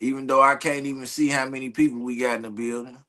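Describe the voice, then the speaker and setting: A man's voice: medium pitch, little pitch movement, fast, pushed and bright. A man co-hosting a casual livestream, talking bluntly and tough.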